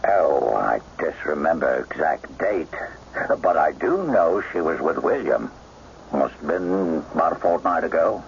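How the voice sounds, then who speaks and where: A gossipy village innkeeper in a radio mystery. His voice is extremely low and gravelly, rambling along in a folksy, jovial way. The recording is muffled. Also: lively intonation, a little slow, exaggerated voice. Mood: gossipy, sly. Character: gossipy, jovial male village pub landlord. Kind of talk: radio mystery drama acting. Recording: muffled, noisy old radio drama broadcast.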